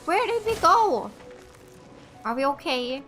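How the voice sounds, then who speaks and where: A woman from Finland doing casual let's play commentary, reacting aloud and thinking out loud as she plays. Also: high voice, very animated, slow pace. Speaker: gaming YouTuber. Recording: game music behind the voice. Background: video game music.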